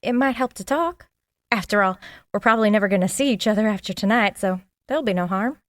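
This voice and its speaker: An actress playing a frank, nosy working-class maid in a 1920s period audio drama, with a folksy, plain-spoken manner. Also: female voice, medium pitch, fast talker, no room sound, studio quality, close to an everyday voice. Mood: frank, probing. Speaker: actor.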